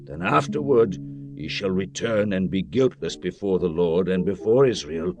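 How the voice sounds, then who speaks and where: A man's voice: low voice, expressive, moderate pace. An actor playing Moses as a stern male leader rebuking his people, in a solemn, formal scripture dramatization. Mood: solemn, conditional. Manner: solemn, admonishing, formal archaic phrasing. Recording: music behind the voice.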